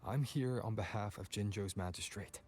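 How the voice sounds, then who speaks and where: An actor playing a calm, purposeful male hero in a video game, composed and understated. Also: fairly low pitch, normal speed, close to an everyday voice. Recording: roomy echo with game music behind.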